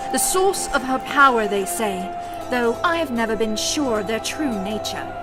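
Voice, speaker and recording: Woman playing a high-voiced, formal good witch. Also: expressive, normal speed, close to an everyday voice. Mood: solemn, instructing. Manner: formal, theatrical phrasing. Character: good witch, earnest, brisk, protective, wryly fretful. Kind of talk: audio drama acting. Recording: music behind the voice.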